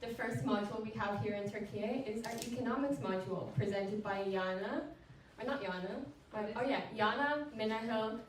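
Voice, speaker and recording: A woman's voice: fairly high pitch, moderate pace. A teenage girl emceeing a school showcase, announcing in an upbeat way. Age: teens. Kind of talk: event hosting. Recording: echoey hall, mic far off, noisy.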